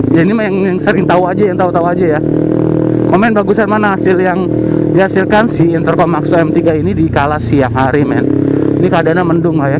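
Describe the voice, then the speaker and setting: A young man with a Jakarta Indonesian accent chats casually and slangily to viewers while riding, recorded on a helmet intercom mic. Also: on the high side. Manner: slangy, informal, addressing viewers as mates. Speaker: motorcycle vlogger reviewing gear. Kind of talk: casual chatty riding commentary. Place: outdoors, riding a motorcycle in traffic. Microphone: helmet intercom microphone. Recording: loud motorcycle exhaust and road noise. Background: loud exhaust and engine noise.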